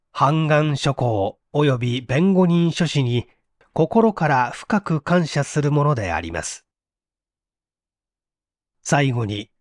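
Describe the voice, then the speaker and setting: A man's voice: mid-range voice, no echo, clean studio sound. A man voicing a remorseful condemned young man giving a solemn, formal confession in an audio storybook. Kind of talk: dramatic monologue in audio storybook.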